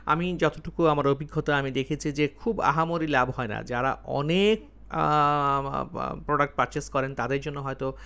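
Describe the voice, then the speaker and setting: A man's voice: on the high side, fairly even intonation, normal speed, creaky, bright, forceful tone, clear, resonant tone. Man narrating a casual travel vlog. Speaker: travel vlogger.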